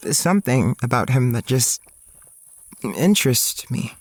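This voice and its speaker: A shy dreamy young student, mid pitch, earnest inner monologue for an audio drama. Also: male voice, expressive, a little slow. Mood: wistful, conflicted. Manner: introspective, sighing, earnest. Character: self-conscious, dreamy, insecure young male student writer. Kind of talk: first-person audio drama narration.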